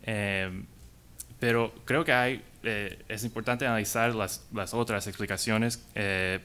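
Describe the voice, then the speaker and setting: Man, English speaker, low pitch, clean resonant tone, halting delivery, conference panelist. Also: fairly even intonation, bright, forceful tone. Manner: halting, hesitant delivery in non-native Spanish. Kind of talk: prepared conference talk.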